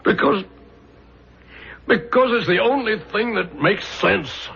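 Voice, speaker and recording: An actor playing an ordinary middle-class suspect in a radio drama, weary, resigned to guilt and haltingly protesting. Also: male voice, high voice, animated, creaky, pushed and bright, slight background noise, heavily acted. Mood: resigned, insistent. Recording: muffled 1970s radio drama recording.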